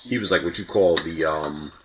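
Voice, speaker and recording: A man's voice: a bass voice, moderate pace, background noise. A man talking casually as a podcast guest, giving hedging, roundabout explanations and recollections.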